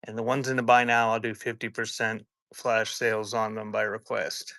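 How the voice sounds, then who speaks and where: A man's voice: lowish voice, flat delivery, normal speed, a bit bright, no echo. A man hosting a live auction as the seller, talking in casual, rambling sales patter mixed with chatty stories. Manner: informal, rambling, conversational.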